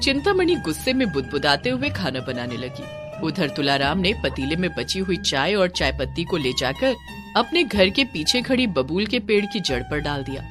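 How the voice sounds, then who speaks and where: Woman telling a kids story, really lively up and down voice, music playing behind. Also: lowish voice, normal speed. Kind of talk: scripted children's story narration.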